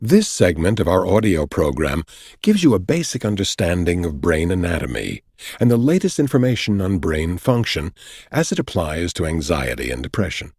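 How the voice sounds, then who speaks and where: A man narrating a nonfiction audiobook from a script in a measured, deliberate, explanatory way, with a husky voice. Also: low voice, very animated, a little mellow, no room sound, studio quality. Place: dry recording studio.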